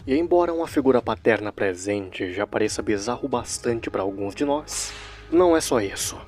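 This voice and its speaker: A man's voice: medium pitch, lively intonation, moderate pace, darkish tone. Brazilian man narrating over music. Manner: wry, joking asides. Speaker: narrator of a horror series recap video. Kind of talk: casual storytelling commentary.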